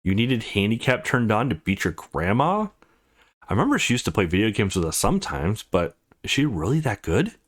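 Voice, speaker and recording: A man's voice: fairly low pitch, big pitch swings, a little fast. A man reading the part of an easygoing, teasing gamer friend in a visual novel, playful and coaxing. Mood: playfully doubtful. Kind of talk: visual novel dialogue read aloud.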